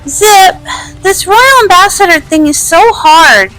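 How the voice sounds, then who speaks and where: A woman voicing an earnest, bubbly young pony princess in a cartoon fan dub, girlish and expressive. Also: extremely high pitch, slow, faint music behind, full character voice, low-quality recording. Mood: relieved, worried.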